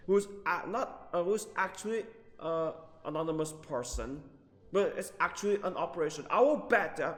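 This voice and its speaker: Male game streamer, fairly high voice, rambling and repetitive, casually sweary, with long echo. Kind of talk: casual live gameplay commentary. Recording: reverberant room, game music behind.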